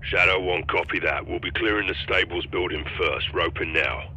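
An actor playing a hard, grim special-forces operator, speaking tersely in a military game. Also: male voice, deep voice, steady tone, normal speed, pushed and bright, a little hiss or hum, heavily acted. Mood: controlled, terse. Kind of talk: military game radio dialogue.

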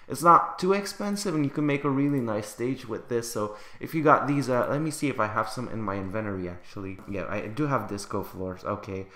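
A man casually commenting on a building game update, chatty and excitable, his voice slightly raspy from the early hour. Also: medium pitch, echoey room. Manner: excitable, chatty, laughs often. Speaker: gaming YouTuber.

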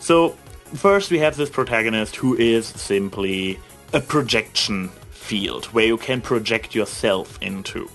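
Rambling, halting man over music. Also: fairly low pitch, a bit bright. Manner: halting, searching for words, repeats and rephrases himself. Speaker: reviewer giving opinions on fan fiction. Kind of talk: unscripted rambling commentary.

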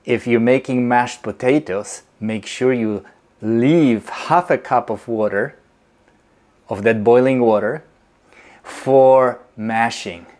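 Slow, deliberate man explaining in a kitchen. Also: mid-range voice, darkish tone. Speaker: movement teacher. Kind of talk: instructional demonstration. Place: home kitchen, indoors.

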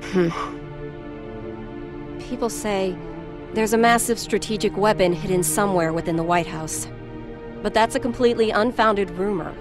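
A woman plays a brisk, skeptical government aide in an animated game. She is formal and matter-of-fact, all business. Music sits lightly behind her voice. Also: mid-range voice, close to an everyday voice. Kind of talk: dubbed animated game cutscene acting.

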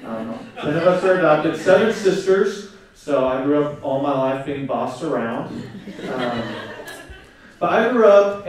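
A man's voice: a little high, far from the mic. A man in his twenties giving a personal testimony on stage, speaking earnestly with frequent fillers into a handheld mic. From South Korea, raised in Oklahoma. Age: 20s. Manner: earnest, many fillers and pauses. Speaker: conference speaker. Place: large hall on stage. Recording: echoey hall, recorded from afar.

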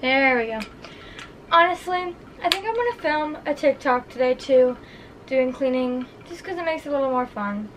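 A young woman vlogging to camera in her small apartment, chatting in a relaxed way with lots of fillers. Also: sits high, moderate pace, slight background noise. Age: young adult. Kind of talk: casual vlog chat to camera.

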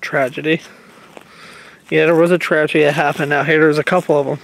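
A young man vlogging a ghost hunt alone in the woods at night, talking in a hushed, nervous way into a handheld camera. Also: fairly high pitch, level tone, fairly quick, gravelly, slight background noise, rough recording. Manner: hushed, nervous, questioning the dark. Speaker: paranormal vlogger. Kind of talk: handheld ghost-hunting vlog.

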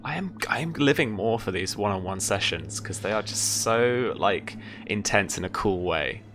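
A man's voice: fairly low pitch, lively intonation, normal speed, background noise. A man in his thirties chatting casually and enthusiastically as a game host, swearing freely, close to the mic on a video call. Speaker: game master and channel host. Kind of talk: casual post-game chat. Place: indoor room at home, on a video call.

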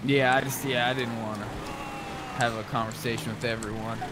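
A man's voice: medium pitch, fairly even intonation, brisk, background noise. A man talking casually in character while playing an online roleplay game. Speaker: roleplay gamer.

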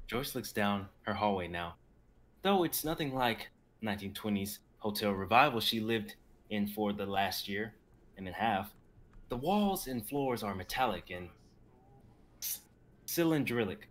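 A man in his twenties reads scripted narration in a fairly low voice. He trips over the text now and then, and the home video-call recording carries faint noise. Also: animated, unhurried. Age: 20s. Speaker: narrator reading stage directions.

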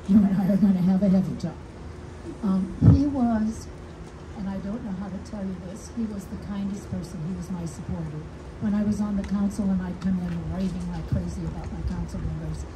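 An older woman with a naturally deep voice speaks warmly at an outdoor podium. She tells personal stories with a little gentle humor. Her voice comes through a PA system and is recorded from the audience, with the outdoor crowd clearly audible. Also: fairly even intonation, fairly quick, background noise. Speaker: widow giving a dedication speech. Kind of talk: heartfelt public speech at a podium. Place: outdoors under a canopy, small crowd. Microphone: podium mic heard through speakers, recorded from the audience.

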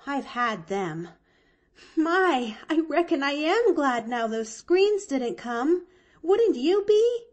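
A woman's voice: high-pitched, very animated, slow, a little mellow, pitched above the performer's own voice, full character voice. A woman reading aloud, voicing an eager, chattering little girl who gushes breathlessly. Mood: rapturous. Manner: breathless, gushing, rapturous.

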